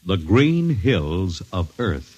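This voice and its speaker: An American man announces in vintage 1950s radio style. His low voice is animated and theatrical, with loud music behind it on an old broadcast. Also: extremely slow, muffled recording. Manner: portentous, theatrical.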